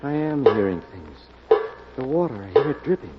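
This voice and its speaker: An actor playing a panicky, haunted suspect in an old radio mystery, fearful and muttering to himself. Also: male voice, medium pitch, sing-song, moderate pace, over loud music, natural voice. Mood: frightened, agitated. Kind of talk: 1940s radio mystery acting. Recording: muffled, noisy old-time radio recording.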